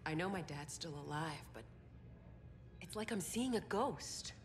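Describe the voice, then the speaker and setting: A woman's voice: lowish voice, expressive, unhurried, over music. A woman with an American accent acting an earnest, worried young soldier in a video game cutscene. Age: young adult.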